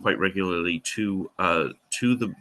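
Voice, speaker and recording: A middle-aged Welsh man with a Welsh accent, a historian guest speaking haltingly in a casual interview, with many fillers and restarts. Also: deep voice, pushed and bright. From Wales.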